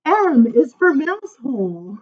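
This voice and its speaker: A woman reading children's poems aloud at story time, informal, with dramatic emphasis and drawn-out words. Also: high voice, sing-song, lo-fi sound. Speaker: library story time reader.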